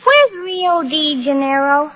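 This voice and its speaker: Sweet young wife, very high voice, naive, dutiful, vintage radio drama, muffled lo-fi recording. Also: female voice, big pitch swings, takes it slowly, fairly bright tone, exaggerated voice. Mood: dreamy. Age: young adult. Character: dreamy, dutiful young wife in a swindler family. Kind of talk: 1940s-50s radio drama acting. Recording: old dull lo-fi radio recording.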